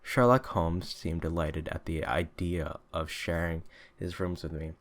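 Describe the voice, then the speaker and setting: A man's voice: low-pitched, flat delivery, measured pace. A man reading literary narration as an audiobook reader, now and then stumbling and rereading a phrase.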